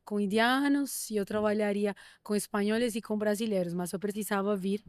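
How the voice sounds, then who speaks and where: A woman hosting a podcast interview, asking measured, thoughtful questions with frequent restarts. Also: mid-range voice, steady tone, no echo, clean studio sound. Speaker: podcast host. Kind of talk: interview conversation.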